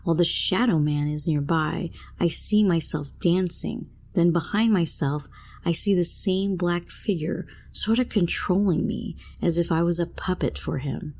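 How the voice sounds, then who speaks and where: A woman's voice: fairly low pitch, measured pace, darkish tone, slightly rough. A woman reading a scripted first-person horror story as a narrator.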